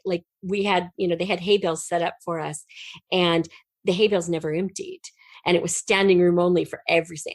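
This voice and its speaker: A woman's voice: medium pitch, no echo. Chatty American woman telling stories. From United States. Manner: chatty, animated retelling with acted-out quotes. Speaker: podcast guest, writer and performer. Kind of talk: casual storytelling in an interview.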